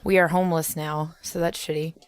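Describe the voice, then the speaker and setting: A woman's voice: low-pitched, little pitch movement, fairly quick, smooth, dry recording. A woman co-hosting a podcast in casual chat, blunt and free with swear words. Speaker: podcast co-host.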